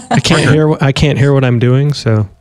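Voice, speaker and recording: A man co-hosting a podcast, explaining things in a relaxed, casual way. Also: mid-range voice. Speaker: podcast co-host.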